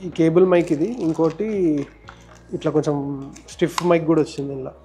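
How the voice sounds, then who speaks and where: A man with a Telangana Telugu accent hosts a casual how-to vlog at a very rapid clip. His voice is fairly high and on the mellow side. He explains each step conversationally, with music behind him. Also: steady tone. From India. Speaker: motovlogger and host. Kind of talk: casual hands-on tutorial vlog.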